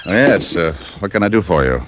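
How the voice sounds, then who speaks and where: Deep-voiced, wry radio private detective. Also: male voice, big pitch swings, moderate pace, background noise. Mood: dry, attentive. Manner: dry, laid-back first-person storytelling. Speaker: actor in the lead role and narrator. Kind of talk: 1940s-50s radio detective drama acting. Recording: old radio recording with music behind.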